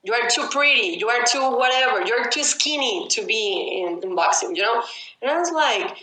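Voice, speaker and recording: Young woman, native Spanish speaker, loud rapid excitable delivery, roomy echo. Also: fairly high pitch. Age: young adult. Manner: loud, fast and excitable, often shouting. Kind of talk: casual conversation. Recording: echoey room.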